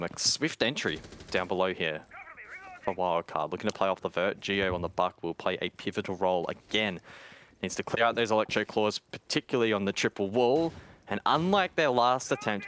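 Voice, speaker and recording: A man casting a live esports match, measured in analysis and quick when calling the action. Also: on the low side, fairly bright tone, slight background noise. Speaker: esports caster. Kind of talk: live play-by-play commentary.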